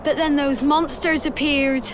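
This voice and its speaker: Game role: sheepish kid, high, stammering apologies, background noise. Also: female voice, fairly even intonation, a little slow. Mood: sheepish, sorry. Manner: hesitant, stammering. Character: sheepish, apologetic young village child. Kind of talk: video game voice acting.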